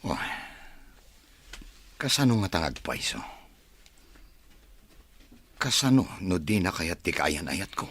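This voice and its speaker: A man's voice: low-pitched, very animated, slow, long pauses, fairly bright tone, full character voice. A man playing a headstrong, lovestruck son of a wealthy family in a radio drama. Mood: defiant.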